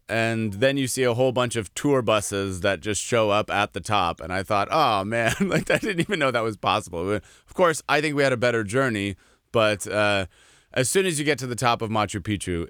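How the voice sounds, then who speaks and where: A man's voice: fairly low pitch, lively intonation, moderate pace, fairly bright tone, smooth, no echo, clean studio sound. A man hosting an interview, chatting casually and telling stories with an enthusiastic manner. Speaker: interview host. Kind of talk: casual conversational storytelling.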